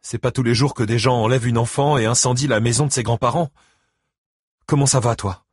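A man's voice: medium pitch, steady tone, fairly quick, slightly rough, no room sound, studio quality, voice pushed up, lightly acted. A man voicing a concerned, exasperated ex-wife and grandmother, speaking emotionally in an audiobook novel. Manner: exclaiming, emotional.

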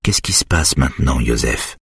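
A calm male hypnotist with a very deep, husky voice that stays soft and slow as a male narrator reads him. The voice sits close and dry, the way an audiobook reading does. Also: dry recording, heavily acted. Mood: soothing.

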